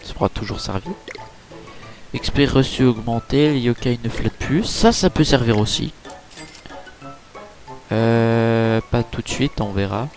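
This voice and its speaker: A man's voice: medium pitch, unhurried, long pauses, darkish tone. Chatty man narrating gameplay. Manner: chatty, filler-heavy, easily impressed. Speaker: gaming YouTuber. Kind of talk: casual let's-play commentary. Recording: game music playing behind.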